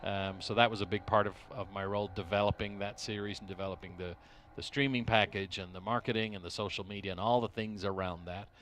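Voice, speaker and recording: A middle-aged man from Dublin with an Irish accent, talking as an interview guest at a race track, pausing often and restarting his sentences. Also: on the low side, fairly even intonation, fairly bright tone. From Ireland. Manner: hesitant, many restarts and fillers. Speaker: interview guest, racing team executive. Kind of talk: casual interview. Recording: faint noise at a race track.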